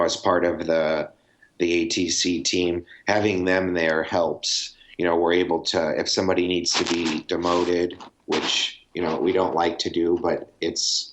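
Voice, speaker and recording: A man speaking casually as a podcast guest, in a halting manner peppered with filler phrases. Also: very deep voice. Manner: halting, frequent "you know". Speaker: guest, air traffic controller. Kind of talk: podcast interview, casual explanation. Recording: clear background noise with clicks.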